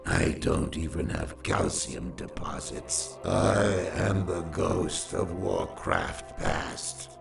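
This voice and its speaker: A sardonic undead lich from a fantasy game, with an extremely low, gravel-laden voice. He draws out his lines theatrically, echoing from some way off. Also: big pitch swings, slow pace, few pauses, soft background music, full character voice. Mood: sardonic, spooky. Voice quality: deep, gravelly, sepulchral. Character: sardonic undead lich, a skeletal male sorcerer. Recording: echoing game voice clip, distant.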